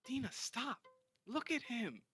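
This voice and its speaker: A man's voice: very high voice, very animated, a little slow, sharp tone, soft background music, voice pushed up, full character voice. A man voicing an anxious, hesitant teenage girl. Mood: pleading. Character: nervous teenage girl, uneasy.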